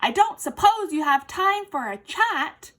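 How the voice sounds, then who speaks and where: A woman voicing a big, polite female elephant in a children's storybook, with loud, drawn-out exclamations. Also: high voice, very animated, heavily acted. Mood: curious, friendly. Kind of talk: children's storybook read-aloud.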